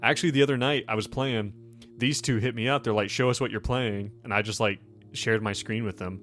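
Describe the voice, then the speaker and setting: A man's voice: fairly low pitch, fairly even intonation, a little fast, a bit bright. A man hosting and running a tabletop game podcast, chatting casually with friends in a teasing, profane way. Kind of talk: casual banter among friends.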